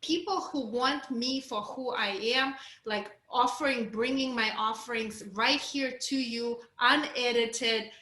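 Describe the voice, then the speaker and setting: A woman's voice: a little high, bright, forceful tone, reverberant. A woman with a Russian accent coaching a group on a video call, candid and encouraging. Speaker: course coach.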